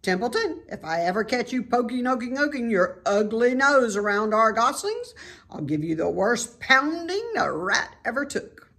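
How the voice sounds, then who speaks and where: A woman's voice: a little high, very animated, normal speed, fairly bright tone, breathy edge, voice pushed up, heavily acted. Woman as a blustering, protective father gander. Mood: threatening. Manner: emphatic, repeats words.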